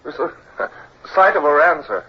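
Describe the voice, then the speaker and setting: An actor playing an eager, deferential young British naval officer with a husky voice in a radio drama. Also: male voice, on the high side, lively intonation, normal speed. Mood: flustered, awed. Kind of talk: old-time radio drama acting. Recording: dull old radio recording.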